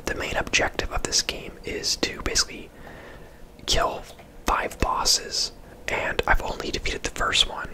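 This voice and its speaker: A man giving casual, unhurried ASMR game commentary in a conversational whisper as he plays. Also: rough recording. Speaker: ASMR gaming host.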